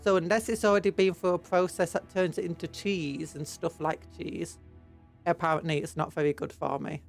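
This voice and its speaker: A woman's voice: on the low side, steady tone, normal speed, gravelly, soft background music. A British woman streamer with a cold, blocked nose and sore throat, rambling in casual chat with her viewers. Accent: British English. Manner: rambling, chatty, reads and answers chat.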